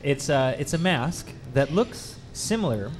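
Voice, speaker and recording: A man's voice: a little high, expressive, measured pace, slight background noise. A man hosting a radio interview, asking casual and curious follow-up questions in an informal, loose way. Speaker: radio host. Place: public fair.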